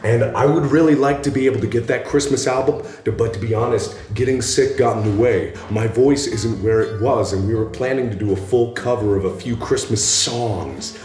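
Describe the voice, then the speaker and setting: A man in his twenties hosting a joking vlog, hoarse and gravelly from being sick, talking loosely with loud bursts. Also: medium pitch, moderate pace, hardly any pauses, soft background music. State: hoarse from illness. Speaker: YouTube host. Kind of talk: casual comedic vlog. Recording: echoey room.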